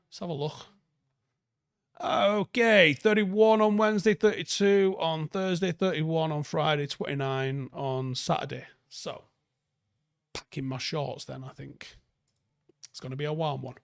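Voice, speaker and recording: Male livestream host, softened Mancunian accent, creaky mid-pitched voice, close dry sound, blunt and sweary off-the-cuff chat. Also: fairly even intonation, unhurried, no echo. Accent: northern English, softened Manchester. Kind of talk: casual chat, answering viewer comments.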